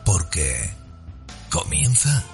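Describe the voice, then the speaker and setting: A man's voice: low-pitched, sing-song, drawn-out words, vocal fry, a little mellow, soft background music. A man announcing the opening of a radio show in a dramatic, scripted style.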